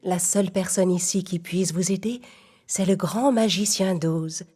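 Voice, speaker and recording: A woman voicing a gentle, gracious good witch in a children's cartoon story. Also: fairly low pitch, steady tone, unhurried, husky. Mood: gently instructive. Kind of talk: children's audio story acting.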